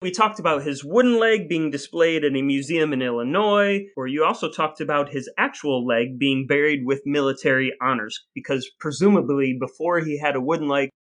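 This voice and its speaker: A man's voice: on the high side, moderate pace, hardly any pauses, a bit bright. An American man co-hosting a podcast with wry, mock-formal, wordy phrasing, moving between scripted reading and chat. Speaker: podcast co-host.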